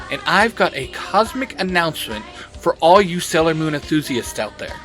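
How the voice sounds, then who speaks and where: A man announcing a scripted promo in a theatrical, excited trailer style. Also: a little high. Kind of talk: hyped scripted trailer read. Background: music.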